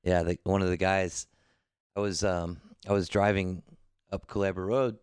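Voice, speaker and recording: Deep, smooth man chatting casually. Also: level tone, normal speed, darkish tone, no room sound, studio quality. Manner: laid-back, rambling storytelling with frequent restarts. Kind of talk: casual chat.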